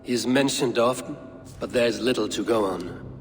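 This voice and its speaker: A man's voice: mid-range voice, normal speed, faint music behind, close to an everyday voice. Stern Viking raider played by a man, reverberant. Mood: grim resolve. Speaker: playable lead character. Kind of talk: video game voice acting.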